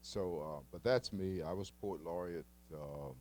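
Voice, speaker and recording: A man leading a poetry workshop, introducing a colleague and himself in a halting way with many fillers. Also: deep voice, darkish tone, a little hiss or hum. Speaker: workshop leader.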